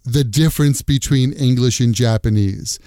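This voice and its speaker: Smooth-voiced man asking questions. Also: mid-range voice, normal speed, mellow, no room sound, clean studio sound. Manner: attentive, many affirmations, repeats words. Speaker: host, teacher. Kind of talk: interview conversation.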